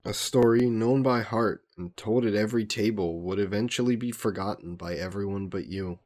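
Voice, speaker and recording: A man playing a gentle, wistful immortal vampire scholar in an intimate audio roleplay, courteous and reflective, musing with hesitant pauses. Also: on the low side, close to an everyday voice.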